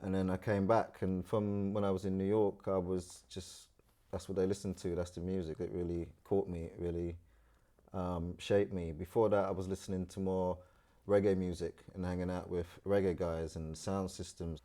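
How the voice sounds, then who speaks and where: Trinidad-born guy, slangy storyteller, lowish voice. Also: moderate pace. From Trinidad and Tobago. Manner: animated storyteller, London street slang. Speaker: guest. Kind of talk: casual storytelling interview.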